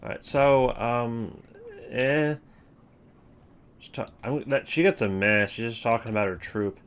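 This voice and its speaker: A man with a slightly low voice hosts a casual ranking video. He rambles wryly, thinking out loud as he goes. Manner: rambling, thinking aloud, wry. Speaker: video host ranking game voice lines. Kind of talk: casual unscripted commentary.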